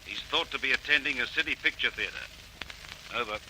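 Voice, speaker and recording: An actor playing a formal, clipped police radio dispatcher reading out a bulletin in a drama. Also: male voice, medium pitch, a little fast, noisy background, lightly acted. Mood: official, deliberate.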